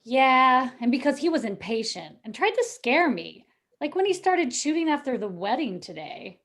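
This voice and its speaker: A woman's voice: high-pitched, very animated, moderate pace, fairly bright tone. A woman playing a coy, calculating, murderous young bride in a vintage-style radio play. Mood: scheming. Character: coy, greedy, murderous young bride, sly femme fatale.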